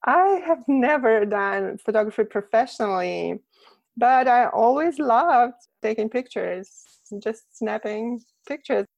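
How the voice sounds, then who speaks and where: A woman's voice: a little high, lively intonation, a little slow, gravelly, dry recording, muffled recording. A woman from Russia with a Russian accent, a photographer being interviewed, chatting casually and laughing often between phrases. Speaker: guest, boudoir photographer.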